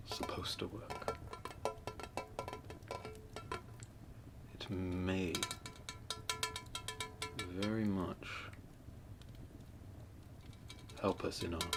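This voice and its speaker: A man playing a calm, scholarly sorcerer mentor in an ASMR fantasy roleplay, speaking softly and gently in measured, near-whispered phrases. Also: deep voice. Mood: calm, hopeful. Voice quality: soft, breathy, often near whisper. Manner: slow, measured, gentle, explanatory. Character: calm scholarly male sorcerer mentor showing magical relics.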